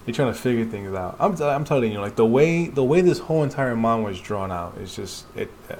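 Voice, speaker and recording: A man's voice: mid-range voice, expressive, brisk, darkish tone, slight background noise. A man chatting casually and enthusiastically in informal slang as he hosts a read-along of a comic. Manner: informal, slangy, enthusiastic, voices sound effects. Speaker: podcast host doing a live read-along. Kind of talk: casual chat and animated reading of a comic.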